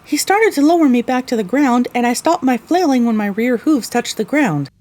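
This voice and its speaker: A woman's voice: high-pitched, animated, normal speed, a little mellow. A woman playing a young pony mare who narrates her own adventure in an audio drama. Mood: earnest, storytelling. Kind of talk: scripted first-person audio drama narration.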